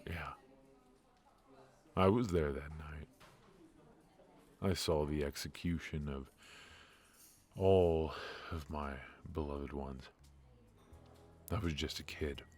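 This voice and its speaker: A man playing a suave, ruthless mafia boss in a noir audio roleplay, confiding in a measured, intimate, smug way with hesitant pauses. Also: extremely low pitch, fairly even intonation, a little mellow. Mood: confiding, grave. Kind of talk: noir ASMR roleplay monologue.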